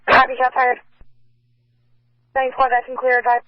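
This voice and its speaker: A woman's voice: sits high, monotone, a little slow, dry recording, dull audio. A woman working as a police radio dispatcher, speaking in terse, procedural phrases over a scanner.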